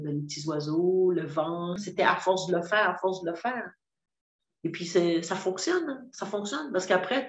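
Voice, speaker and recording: Québécois woman, fifties, telling her own story haltingly and sincerely; roomy, dull recording. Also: on the low side, darkish tone. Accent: Québécois French. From Quebec. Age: 50s. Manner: hesitant, many fillers and restarts, earnest. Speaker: program client giving a testimonial. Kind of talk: candid personal testimony. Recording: roomy echo, dull lo-fi sound.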